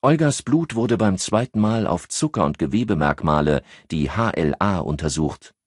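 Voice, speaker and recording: A man's voice: low-pitched, animated, moderate pace, slightly rough, dry recording, clean studio sound. A man voicing a composed, educated countess who explains things precisely, like a medical expert, in an audiobook. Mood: matter-of-fact.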